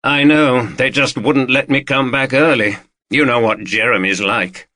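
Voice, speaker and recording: A man playing a weary partner who speaks calmly and reassuringly, with a firm edge. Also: medium pitch, measured pace, dry recording. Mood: soothing. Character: weary, soothing man with a past temper.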